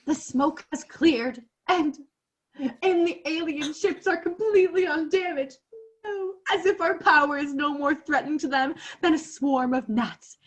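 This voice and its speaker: A woman's voice: sits high, unhurried, dull audio. A teenage woman playing a grandiose radio war reporter in a radio play, declaiming theatrically. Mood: horrified, tearful. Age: late teens. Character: melodramatic female radio war reporter, grandiose then panicked. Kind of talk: 1930s radio play acting. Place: bedroom on a video call.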